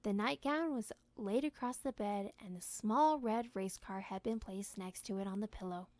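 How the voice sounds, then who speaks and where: A woman reading a story aloud in expressive narration, with a gentle, deliberate storytelling manner. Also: medium pitch, no echo. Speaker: storybook reader.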